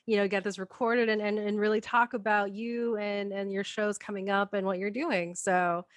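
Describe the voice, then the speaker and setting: A woman hosts a chatty interview in a dry, close-miked voice at a middle pitch. She fills her questions with easygoing "so" and "you know" as she feels her way through them. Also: moderate pace, no room sound. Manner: relaxed, hedging fillers like "so" and "you know". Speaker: podcast host. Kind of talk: casual interview chat.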